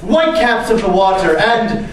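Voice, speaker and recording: A woman's voice: mid-range voice, animated, unhurried, natural voice. Woman playing a solemn camp spirit, echoey and distant. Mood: mock solemn. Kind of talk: mock-Greek theatrical declamation. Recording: distant, very echoey room with noise.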